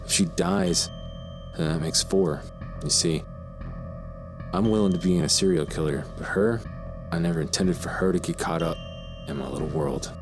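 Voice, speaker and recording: Music runs strongly under a very low male voice. It is a man acting out a brooding, remorseless serial killer in an audio drama, theatrical and deliberate, with delusions of grandeur. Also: creaky. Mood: cold, menacing.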